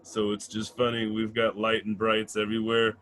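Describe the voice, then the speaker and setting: A man's voice: on the low side, completely flat delivery, moderate pace, fairly bright tone, poor audio. Loose, chatty male comedy host. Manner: loose, chatty, swears casually. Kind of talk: introducing comedians on a show.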